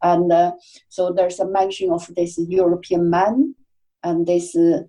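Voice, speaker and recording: A woman guest on a podcast talks slowly in a mellow, low-ish voice. She is soft-spoken and hesitant, often pausing on fillers. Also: level tone, poor audio. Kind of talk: casual interview chat.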